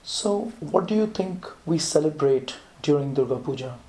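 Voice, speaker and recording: Woman interviewer, low mellow voice, encouraging, short prompts. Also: animated, normal speed, rough recording. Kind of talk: casual interview with a child.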